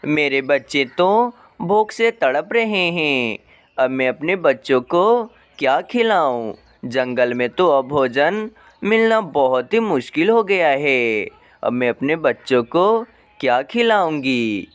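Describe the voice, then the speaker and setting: A man's voice: fairly high pitch, expressive, takes it slowly, clean tone, pitched below the performer's own voice. A man voicing a worried, sorrowful mother bird in a cartoon moral story, lamenting and pleading tenderly. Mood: despairing. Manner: plaintive, lamenting.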